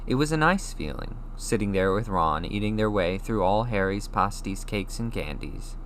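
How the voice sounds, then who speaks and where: A man reading a children's novel aloud as expressive scripted narration. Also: fairly low pitch, moderate pace, a little hiss or hum, rough recording. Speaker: audiobook reader.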